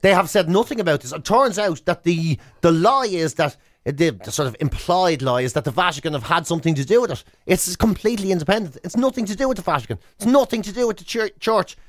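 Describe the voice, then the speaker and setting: A man's voice: high voice, lively intonation, fast talker, few pauses. An Irish man co-hosting a radio show with comic banter, emphatic and given to repeating himself. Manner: mock outrage, emphatic repetition.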